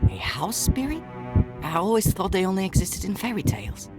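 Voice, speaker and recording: Actor as lively, earnest young boy. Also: medium pitch, moderate pace, natural voice. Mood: startled, worried. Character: earnest, dutiful young boy, caring big brother. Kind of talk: fairy-tale adventure game voice acting. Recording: music behind the voice.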